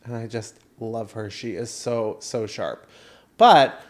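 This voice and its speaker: A man co-hosting a podcast, telling casual comedic stories in an animated, dramatic way and acting out reactions. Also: medium pitch, slow pace. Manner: animated, dramatic storyteller who acts out reactions and quotes. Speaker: podcast co-host. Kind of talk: casual comedic storytelling chat.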